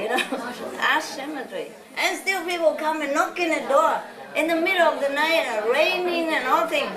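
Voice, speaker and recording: High-voiced woman lecturing in an echoey hall. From Vietnam. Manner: chatty, laughing often, rambling asides. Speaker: spiritual teacher. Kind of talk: informal lecture to followers. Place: large hall with a live audience. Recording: echoey hall, distant mic, audience noise.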